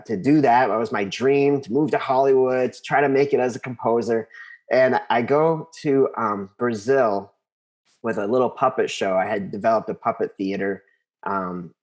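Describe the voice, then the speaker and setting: A man being interviewed, telling his story conversationally and haltingly, repeating words as he thinks. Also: mid-range voice. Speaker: interview guest, composer.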